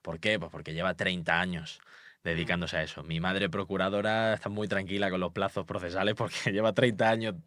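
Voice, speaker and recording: Deep-voiced confident Spanish man. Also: flat delivery, fairly quick, fairly bright tone, no echo, clean studio sound. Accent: light Andalusian Spanish. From Málaga, Spain. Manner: confident, emphatic, explanatory. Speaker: public speaking expert guest. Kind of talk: podcast interview.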